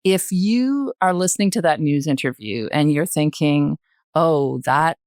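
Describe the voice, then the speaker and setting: Low-pitched older woman, emphatic and conversational. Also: expressive, darkish tone, dry recording, studio quality. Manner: emphatic, halting repetition of phrases. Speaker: podcast guest, retired journalist. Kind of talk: conversational panel discussion.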